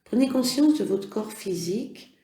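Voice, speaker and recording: A yoga nidra instructor guiding a relaxation meditation in gentle, measured phrases with pauses. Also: medium pitch, animated, echoey room. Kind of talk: slow guided relaxation meditation.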